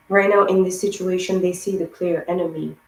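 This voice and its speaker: A woman giving a rambling spiritual tarot reading, hoarse and losing her voice, piling up words in long lists. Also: on the low side, reverberant, poor audio. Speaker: tarot reader.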